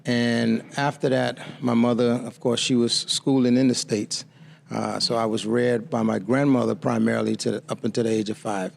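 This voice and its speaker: A man in his fifties from the Bahamas, telling his life story as a guest author in a measured, reflective way. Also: medium pitch, little pitch movement, vocal fry.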